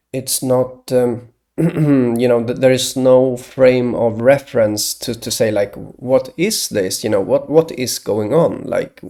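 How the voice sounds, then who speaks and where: A man from Sweden, talking hesitantly in a rambling solo monologue, with many pauses and restarts. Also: mid-range voice, dark tone. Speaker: video blogger.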